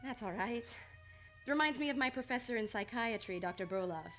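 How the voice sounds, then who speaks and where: A woman playing a young, earnest psychiatrist with formal, measured speech in an old-time radio play. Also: fairly high pitch, lively intonation, fairly bright tone, faint music behind. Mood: calm, clinical. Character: young, reserved, earnest female psychiatrist, precise and clinical.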